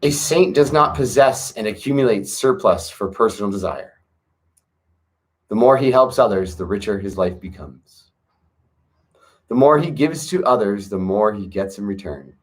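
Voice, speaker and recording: A man reading a classic text aloud on his front porch, earnest and gentle, with spiritual asides. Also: on the low side, expressive, normal speed.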